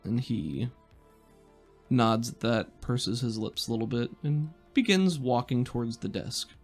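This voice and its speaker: A game master improvising narration for a tabletop game in a halting, thoughtful way. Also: medium pitch, lively intonation, faint music behind.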